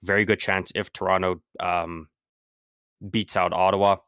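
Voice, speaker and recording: A man co-hosting a casual sports podcast, talking haltingly with lots of ums while fighting a sore throat. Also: low voice, little pitch movement, fairly bright tone, smooth, no echo, studio quality. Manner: halting, many ums and restarts. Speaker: podcast co-host.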